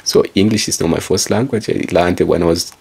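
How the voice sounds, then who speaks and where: Deep gravelly man, Kikongo native speaker. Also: fairly even intonation, a little fast, a little mellow. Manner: rambling, circles back with repeated phrases; calls out to listeners like a presenter. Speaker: author and speaker. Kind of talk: motivational monologue.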